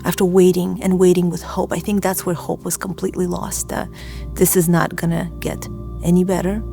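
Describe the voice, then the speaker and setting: A woman from Azerbaijan and Russia telling her personal story in an earnest, reflective, deliberate way. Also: lowish voice, level tone, creaky, dark tone, faint music behind. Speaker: church member giving testimony.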